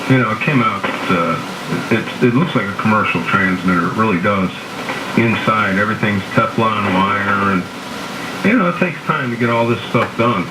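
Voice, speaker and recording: Through loud radio noise and echo comes a low-voiced American man. He is an amateur radio operator chatting casually over shortwave, easygoing and reassuring as he talks. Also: moderate pace. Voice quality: throat-clearing between phrases. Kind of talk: casual ham radio chat. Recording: noisy, echoey shortwave radio reception. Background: loud radio static.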